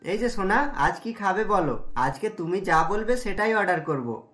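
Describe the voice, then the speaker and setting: A woman's voice: medium pitch, lively intonation, normal speed, a bit bright, pitched below the performer's own voice, natural voice. A woman voicing a young married man in a cartoon, a doting but easily indignant husband who talks emphatically. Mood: affectionate, cheerful. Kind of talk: cartoon story dialogue. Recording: music under the voice.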